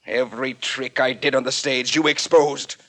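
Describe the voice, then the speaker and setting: A man's voice: mid-range voice, steady tone, moderate pace, fairly bright tone, close to an everyday voice. A man playing a bitter, proud old magician in 1940s radio style, with emphatic, indignant, stilted phrasing. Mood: bitter, accusing. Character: bitter, proud old male magician turned trick builder.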